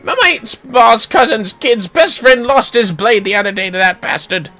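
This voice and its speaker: A streamer voicing a blunt, grumbling townsman in a video game, colloquial and rough-mouthed. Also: mid-range voice, lively intonation, normal speed, sharp tone, faint music behind, voice pushed up.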